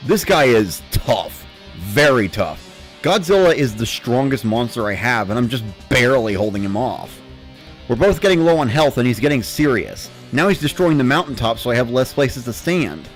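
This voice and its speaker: Animated male narrator over faint music. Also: mid-range voice, moderate pace. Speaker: narrator of a dramatic reading series. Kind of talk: dramatic first-person narration of a game story.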